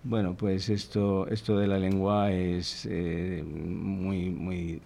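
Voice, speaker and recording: A man in his sixties from Chile answers an interviewer in a low voice. He is thoughtful and a little hesitant, with fillers and restarts as he searches for the right words, and he reflects on his own life as he speaks. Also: level tone, slow pace, gravelly, dark tone. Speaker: guest, father of a large family.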